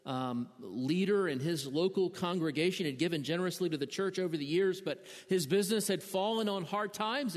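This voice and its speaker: A pastor delivers a sermon in a high voice, with long reverb from a large church sanctuary around him. He stammers often and repeats words as he works through his points. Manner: frequent stammered word repeats.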